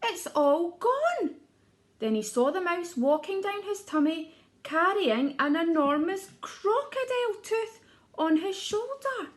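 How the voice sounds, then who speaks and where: A woman's voice: extremely high pitch, animated, a little slow. A woman reading a children's storybook aloud like an early years teacher, expressively, putting questions to her young listeners.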